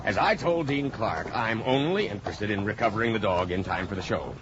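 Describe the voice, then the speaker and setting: Actor as a blustering, demanding man, swooping pitch. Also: lively intonation, fairly quick, close to an everyday voice. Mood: curt, mollified. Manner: blustering, imperious. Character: indignant, demanding well-to-do owner of a show dog. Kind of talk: 1940s radio drama acting. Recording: dull, noisy 1940s radio recording.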